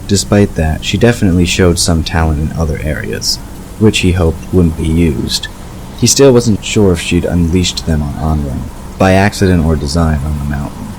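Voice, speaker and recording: A man narrating a scripted story in a measured storytelling delivery. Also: rumbling low, darkish tone, rough recording. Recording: clear background ambience noise.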